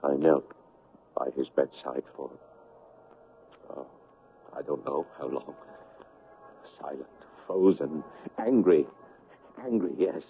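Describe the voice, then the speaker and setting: A low male voice, a bereaved son laying bare his bitterness in halting, repeated phrases. It is vintage radio melodrama, narrow and muffled with light background noise. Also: full character voice. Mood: desperate. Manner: halting, anguished, repeating himself. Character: grief-stricken, bitter son confessing his anguish. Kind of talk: 1970s radio drama acting. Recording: muffled, noisy 1970s radio broadcast.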